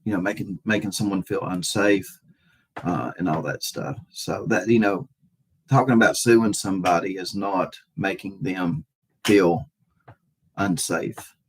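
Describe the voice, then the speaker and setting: A man hosting a casual livestream, talking to viewers in a halting, hesitant way with repeated phrases and a husky voice. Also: sits low, low-quality recording.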